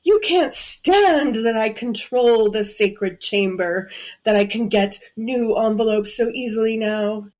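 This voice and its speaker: A woman's voice: fairly high pitch, slow pace, darkish tone. A woman acting as a haughty, gloating villainess in an audio drama, taunting and imperious. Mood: accusing, scornful. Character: haughty, gloating female villain, cruel body-borrowing hotel owner.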